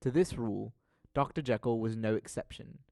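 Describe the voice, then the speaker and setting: Guy narrating an old novel for audio drama, medium pitch, really dry studio-like sound. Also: normal speed, creaky, mellow, dry recording. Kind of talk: scripted literary narration.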